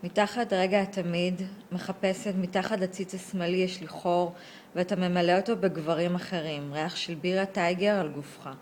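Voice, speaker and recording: Woman, poet reading her own verse, fast flowing delivery, lowish voice. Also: steady tone, darkish tone, a little hiss or hum. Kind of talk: poetry reading.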